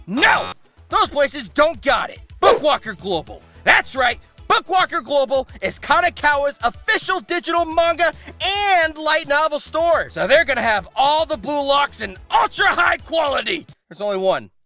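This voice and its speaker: High, bright, theatrical male review host. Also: very animated, moderate pace, faint music behind. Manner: theatrical, exaggerated emphasis. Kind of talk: scripted comedic review narration.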